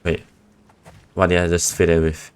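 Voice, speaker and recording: A man's voice: sits low, monotone, normal speed, a little hiss or hum. A man casually commenting on a game as he streams it, with skeptical, offhand remarks. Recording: game music behind the voice. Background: video game music.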